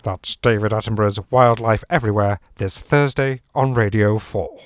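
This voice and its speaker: Man playing a dry-sounding, deadpan radio announcer. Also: on the low side, normal speed, no echo, lightly acted. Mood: formal. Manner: deadpan, formal. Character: formal radio continuity announcer, deadpan, oversharing.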